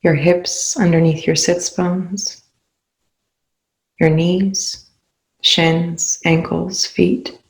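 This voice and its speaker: A meditation guide leading a session in a soothing, unhurried manner with long pauses between phrases. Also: mid-range voice, little pitch movement, creaky, low-quality recording. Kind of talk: guided meditation, spoken slowly.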